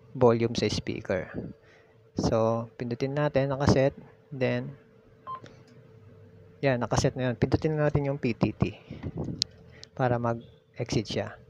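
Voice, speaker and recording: Gravelly Filipino man giving a tutorial. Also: medium pitch, a little mellow. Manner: instructional, repeats key steps. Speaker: tutorial host. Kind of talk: casual step-by-step demonstration. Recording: muffled lo-fi audio with background noise.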